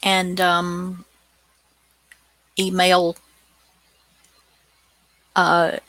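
A woman's voice: lowish voice, monotone, extremely slow, fairly bright tone, no echo, low-quality recording. A woman hosting a radio show in casual chat, with a folksy, teasing manner.

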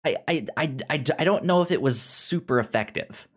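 A podcast host in a relaxed book discussion, with a voice of middling pitch. The delivery is halting, with words restarted and syllables repeated in a frequent stammer. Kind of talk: casual book review chat.

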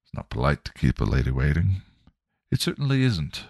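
A prim, fussy young gentleman who speaks formally and properly, as a man puts him on in a very low voice. It is recorded close and dry. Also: big pitch swings, brisk, creaky, dry recording, heavily acted.

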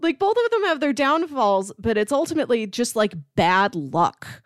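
A woman's voice: a little high, sing-song, moderate pace, no echo, clean studio sound. A woman co-hosting a podcast, casually discussing films in an enthusiastic, analytical way with frequent emphasis. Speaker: podcast co-host.

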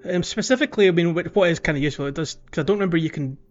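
A man's voice: a little high, very fast, dull audio, faint music behind. A man chatting casually as a podcast co-host about a video game, often chuckling as he talks. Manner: chuckles often while talking. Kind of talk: casual chat, video game playthrough commentary.

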